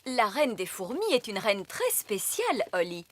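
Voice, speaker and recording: A woman's voice: medium pitch, huge pitch swings, normal speed, fairly bright tone, slight background noise. A woman voicing a fussy, no-nonsense fairy nanny with a theatrical delivery in a French children's cartoon. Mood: matter-of-fact.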